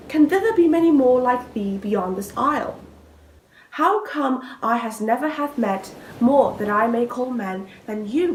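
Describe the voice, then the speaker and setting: A young woman performing an innocent, lovestruck maiden in an earnest, theatrical Shakespearean soliloquy. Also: high voice. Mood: awed, questioning. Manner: earnest, declamatory, archaic diction. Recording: echoey room with faint noise.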